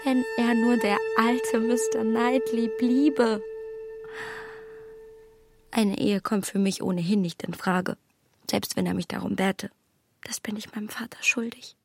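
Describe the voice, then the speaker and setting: An actress playing a proud, self-reproaching young gentlewoman in a radio play, with emotional, deliberate phrasing. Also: fairly high pitch, flat delivery, creaky. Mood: wistful, possessive. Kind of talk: radio play acting, inner monologue. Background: music.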